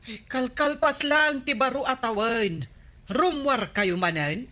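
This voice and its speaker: Nagging village captain's wife, Ilocano accent, slow and forceful, comic radio drama. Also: female voice, sits high, lively intonation, exaggerated voice. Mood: exasperated. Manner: scolding, drawn-out complaining. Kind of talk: comedic radio drama acting.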